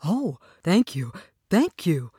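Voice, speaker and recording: A woman's voice: fairly low pitch, very animated, takes it slowly, warm tone, slightly rough, dry recording, clean studio sound, exaggerated voice. A woman voicing an eager, grateful scarecrow in a storybook. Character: eager, grateful male scarecrow wanting brains.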